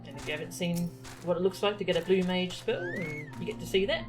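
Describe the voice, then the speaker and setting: A woman's voice: lowish voice, lively intonation, quick pace. A woman chatting casually while streaming a video game, in a playful, easygoing manner. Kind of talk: casual livestream gameplay chat, reading game text aloud. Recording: game music behind the voice.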